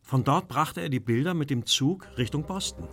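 A man's voice: a little high, animated, moderate pace, noisy background. A man playing an anxious, well-bred Victorian London art dealer telling his story in a German audio drama. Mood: measured recounting. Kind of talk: German audio drama acting, narrating flashbacks. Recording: music behind the voice.

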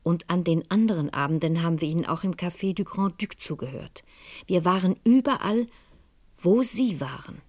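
An actress playing a grave, anxious middle-aged lady in a radio play, speaking in a measured, formal and brooding way. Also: lowish voice, expressive, a little mellow, dry recording, clean studio sound. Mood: reflective. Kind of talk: 1970s German radio play acting, part narrated monologue.

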